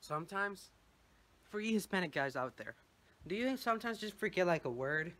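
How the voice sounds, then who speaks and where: A man's voice: sits high, animated, normal speed, sharp tone. A young man with a Mexican Spanish accent, whose first language is Spanish, rambles casually in a vlog. He swears offhandedly and drops in Spanish words while holding his phone at arm's length at home. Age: young adult. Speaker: vlogger. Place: home bathroom and bedroom. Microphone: phone held at arm's length.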